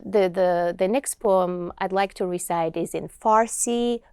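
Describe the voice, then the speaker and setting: A woman whose first language is Georgian, speaking as an interview guest and reciting poems in a slow, earnest, expressive way. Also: lowish voice, clean tone, no room sound, studio quality. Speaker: guest poet.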